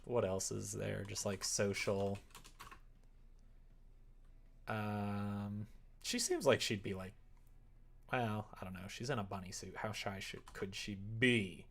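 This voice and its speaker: A man's voice: fairly low pitch, fairly even intonation, unhurried, vocal fry, fairly bright tone. A man streaming game development, chatting casually and thinking aloud in a rambling, playful way as he works at his computer. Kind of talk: casual livestream chat while working. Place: indoors at a computer desk.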